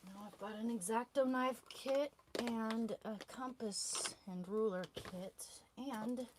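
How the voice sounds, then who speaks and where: A woman's voice: fairly high pitch, a little mellow, slight background noise. A woman chatting casually and rambling on a livestream, unpacking things and talking to her dog.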